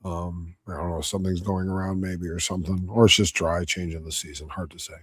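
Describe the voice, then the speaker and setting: A man giving casual livestream commentary on a debate, blunt, combative and profane, his voice slightly hoarse. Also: low-pitched, little pitch movement, fairly quick, dry recording. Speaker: debate reviewer streaming live.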